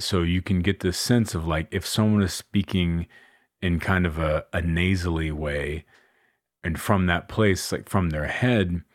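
A man hosting a solo coaching podcast, talking in an unhurried, musing way with a deep chest voice and many fillers. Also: dry recording, studio quality. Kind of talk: reflective solo podcast talk.

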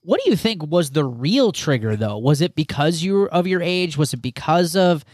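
A man's voice: a little high, lively intonation, moderate pace, darkish tone, no room sound, clean studio sound. A man hosting a podcast, talking casually and rambling with many restarts. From United States. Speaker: podcast host.

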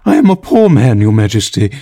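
A man's voice: on the low side, sing-song, a little fast, mellow. A man voicing a nervous, pitiful hat-seller in a children's storybook, with a trembling, anxious manner. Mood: anxious, pleading.

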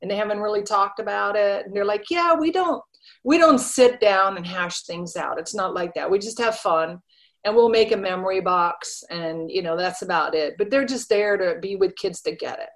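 A middle-aged woman, a podcast guest, chatting casually and telling animated stories with quoted voices. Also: mid-range voice, normal speed. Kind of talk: casual interview chat.

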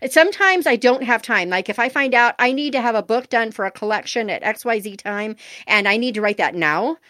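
A woman's voice: fairly high pitch, expressive, a little fast, bright, forceful tone, no echo, studio quality. A woman in her forties with a nasal voice, chatting candidly and self-deprecatingly as an author being interviewed. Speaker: author guest. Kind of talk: casual interview answers.

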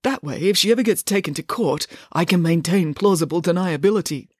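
A woman's voice: lowish voice, brisk, darkish tone, slightly rough, no room sound, studio quality. A woman doing a pompous, flustered lawyer dad. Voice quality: blustering, nervous. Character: stuffy pompous middle-aged male lawyer father.

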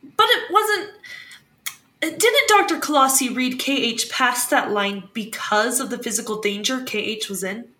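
A woman's voice: on the high side, unhurried, pushed and bright. A woman playing a poised livestream talk-show host in a fiction podcast, with a polished presenter's manner. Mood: curious. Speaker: host taking calls.

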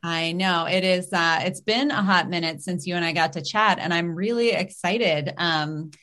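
A woman's voice: lowish voice, normal speed, a bit bright. A middle-aged woman hosting a podcast, chatting casually and upbeat, with lots of "like" and "um". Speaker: podcast host.

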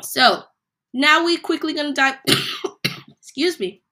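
A woman hosting a solo podcast, a little hoarse from a cold, reading numbered points with emphasis. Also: sits high, animated, takes it slowly, bright, forceful tone. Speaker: podcast host.

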